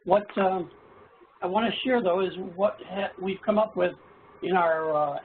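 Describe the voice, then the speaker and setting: A middle-aged hoarse man, a college instructor, presenting haltingly with many fillers in an online workshop. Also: high-pitched. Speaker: college instructor presenting his action plan. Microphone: video call. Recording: tinny, phone-like call audio with faint noise.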